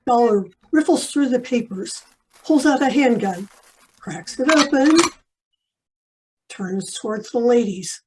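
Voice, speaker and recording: A woman's voice: a little high, lively intonation, slow pace, pauses often, a little hiss or hum, low-quality recording. A woman narrating scripted action in a radio play, in the present tense. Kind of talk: scripted stage-direction narration.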